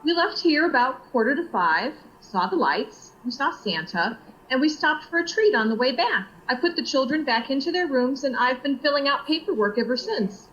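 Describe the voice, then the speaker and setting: A woman's voice: high-pitched, lively intonation, moderate pace, fairly bright tone, dull audio. A woman playing a helpful, unsuspecting nurse in an audio drama. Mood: helpful, casual. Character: helpful, unsuspecting female children's hospital nurse.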